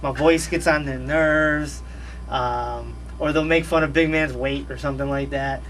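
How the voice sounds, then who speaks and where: A man's voice: mid-range voice, fairly even intonation, a little slow, a bit bright, far from the mic. A man in his thirties, a guest on a radio show, talking in a laid-back, slangy way and repeating his phrases. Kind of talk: casual radio interview chat. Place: radio studio.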